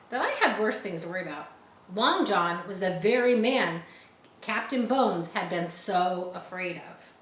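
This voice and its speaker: A woman's voice: medium pitch, a bit bright. Woman reading a storybook, echoey room. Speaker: storybook narrator and reader. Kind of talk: storytelling read aloud with simple explanatory asides for children. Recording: echoey room with faint background noise.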